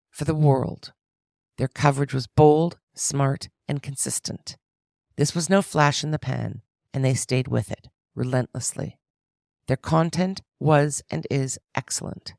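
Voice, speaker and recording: A woman reading the show's voice-over narration in an emphatic, measured way. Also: extremely low pitch, creaky, darkish tone, no echo, clean studio sound. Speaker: narrator of the show.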